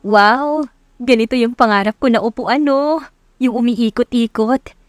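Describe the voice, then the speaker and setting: A woman's voice: on the high side, measured pace, no room sound, clean studio sound, faint music behind, natural voice. A woman voicing a shy, easily flustered young woman tutor in a romance audiobook. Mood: delighted, playful. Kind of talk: romance audiobook character acting.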